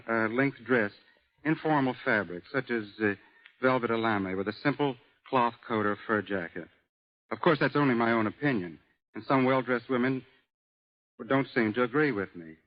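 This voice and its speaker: A man speaking as a guest expert on a radio show, measured and matter-of-fact, reading scripted answers, on a dull old broadcast recording. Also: medium pitch.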